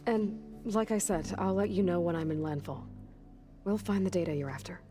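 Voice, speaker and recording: A woman's voice: lowish voice, moderate pace, music in the background, natural voice. A woman playing a young warrior in a video game, guarded but caring, with a direct, understated way of talking. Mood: reassuring, matter-of-fact. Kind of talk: video game cutscene acting.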